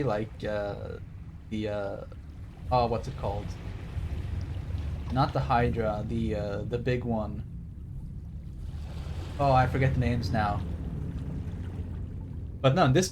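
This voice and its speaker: A man's voice: medium pitch, slight background noise. A man streaming a game with casual, rambling commentary in an irreverent, joking and sweary manner.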